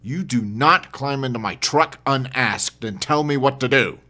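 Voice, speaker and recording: A man's voice: medium pitch, measured pace, sharp tone, pitched above the performer's own voice. A man voicing a hard-bitten, impatient male survivor in an audiobook horror story, blunt and commanding. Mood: angry, commanding.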